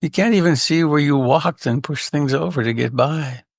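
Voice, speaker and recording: A man leading a guided meditation in a gentle, unhurried way with long pauses and a creaky voice. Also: on the high side, no room sound, studio quality. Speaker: meditation teacher.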